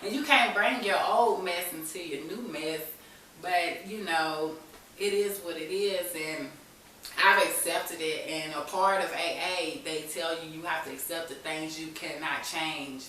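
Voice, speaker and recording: A woman's voice: low-pitched, unhurried, bright, forceful tone. A woman telling her own story as an interview guest, speaking candidly and with resolve in a personal, conversational way. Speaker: talk show guest in recovery. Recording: very echoey room, distant mic, hiss.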